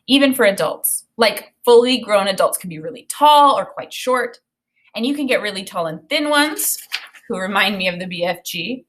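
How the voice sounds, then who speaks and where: Woman reading a children's novel aloud expressively. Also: fairly high pitch. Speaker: read-aloud narrator.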